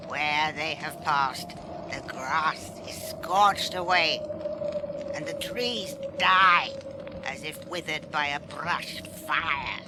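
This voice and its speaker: Scornful prophet raven, very slow solemn delivery, radio play, loud background noise. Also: mid-range voice. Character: Bran the Raven, knowing, scornful prophetic bird. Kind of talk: 1980s radio drama acting. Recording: noisy archive radio broadcast.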